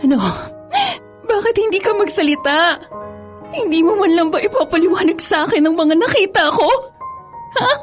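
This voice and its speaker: A woman's voice: very high-pitched, expressive, normal speed, faint music behind. An actress playing a betrayed young student who confronts her cheating boyfriend in a radio drama. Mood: accusing. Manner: accusing, tearful outbursts. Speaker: voice actor.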